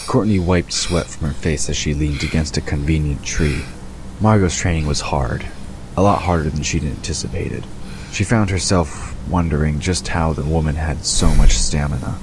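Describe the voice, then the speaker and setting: Very deep-voiced male narrator. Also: normal speed. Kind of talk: scripted audiobook narration. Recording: constant ambience noise behind narration.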